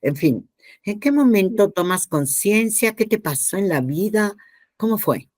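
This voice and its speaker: A woman's voice: medium pitch, lively intonation, measured pace, darkish tone, dry recording, clean studio sound. An older woman with a Mexican accent, hosting a conversational interview in an informal, rambling way full of asides and questions. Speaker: podcast host and interviewer.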